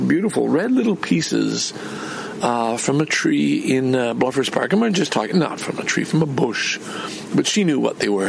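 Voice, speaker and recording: A man's voice: mid-range voice, vocal fry, background noise. An older man from Canada in a rambling personal vlog, meandering and chatty with many restarts. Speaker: vlogger.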